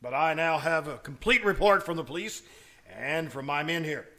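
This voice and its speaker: A commanding army general played by a man with a very sharp, high-set voice. He is formal and authoritative, in old-time radio comedy style. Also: expressive, normal speed. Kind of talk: old-time radio comedy acting before a live audience.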